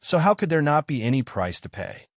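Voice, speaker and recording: A man narrating a fantasy novel in a steady, dramatic reading as audiobook narrator. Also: on the high side, a little fast, dry recording, clean studio sound.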